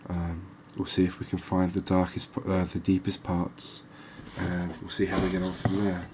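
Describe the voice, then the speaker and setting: A soft-spoken man giving ASMR gameplay commentary, thinking aloud with pauses. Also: low-pitched, monotone, a little hiss or hum. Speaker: gaming video host.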